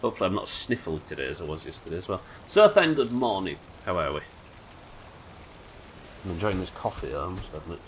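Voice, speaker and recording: A man with a nasal voice, a little hoarse from illness, chatting wryly and swearing casually while streaming a game live. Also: deep voice, very animated, brisk, slight background noise. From United Kingdom. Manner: chatty, wry, swears casually, muttering asides. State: sick, slightly hoarse.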